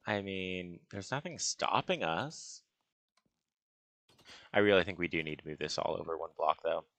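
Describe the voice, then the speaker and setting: A man with a gravelly voice giving casual gaming commentary as he builds, musing and hesitating as he talks to himself. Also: deep voice, moderate pace, fairly bright tone, dry recording. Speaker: gaming video host. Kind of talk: casual Minecraft building commentary, thinking aloud.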